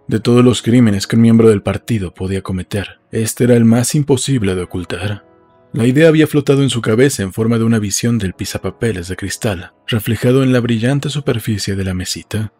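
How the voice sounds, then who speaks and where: A man's voice: fairly low pitch, brisk, mellow, husky. A man reading a literary audiobook as a scripted narrator. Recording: music behind.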